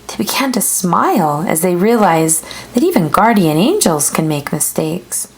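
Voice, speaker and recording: Lower-voiced woman reading a children's story. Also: sing-song, moderate pace. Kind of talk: expressive read-aloud storytelling.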